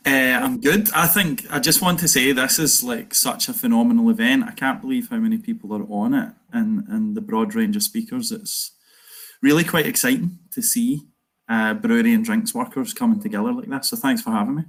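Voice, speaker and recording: A man's voice: medium pitch, a bit bright. A man with a Glasgow accent, speaking earnestly as a union organiser on an online panel. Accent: Glaswegian. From Scotland. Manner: earnest, campaigning, quick asides.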